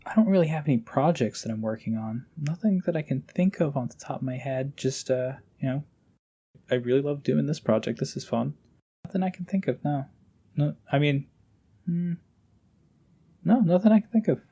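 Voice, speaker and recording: A man's voice: fairly high pitch, normal speed, dark tone, slightly rough. A man in a casual remote chat as a podcast guest and voice actor, agreeable and self-deprecating. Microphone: internet call.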